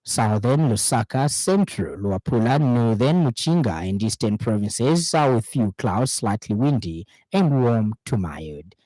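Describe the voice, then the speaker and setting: A man's voice: medium pitch, animated, unhurried, few pauses, warm tone, no room sound, rough recording. A man with a Zambian accent reading a scripted radio news bulletin in a studio.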